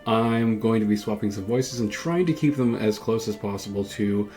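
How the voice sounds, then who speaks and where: A man's voice: lowish voice, normal speed, darkish tone, soft background music. A man giving casual gameplay commentary as host of a gaming video. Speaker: gameplay video host who voices characters.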